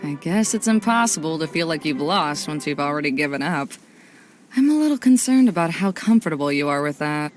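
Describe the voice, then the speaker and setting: A woman's voice: low-pitched, expressive, a little fast, faint music behind. A woman playing a thoughtful, candid young researcher in a game, articulate and a little dry. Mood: dryly teasing. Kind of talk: game cutscene acting.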